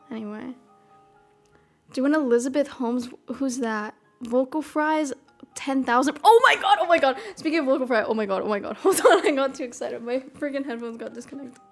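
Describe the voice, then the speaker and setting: A woman's voice: high voice, lively intonation, normal speed. A young woman with a mild Midwestern American accent, chatting casually in a bubbly, rambling way. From American South. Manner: bubbly, rambling, lots of "freaking" and "oh my God". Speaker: streamer. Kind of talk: casual chat. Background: soft piano music.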